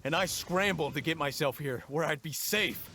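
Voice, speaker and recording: A man's voice: fairly high pitch, normal speed, sharp tone, a little hiss or hum. An actor playing a frightened merchant and family man in an English video game, with a nervous, pleading manner. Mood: shaken, recounting. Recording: game music behind the voice.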